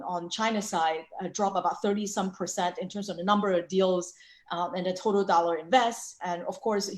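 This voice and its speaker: A woman hosting a casual online panel over a video call, chatty and full of fillers. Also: medium pitch. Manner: filler-heavy, chatty, frequent restarts. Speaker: webinar host and co-founder. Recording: echoey room.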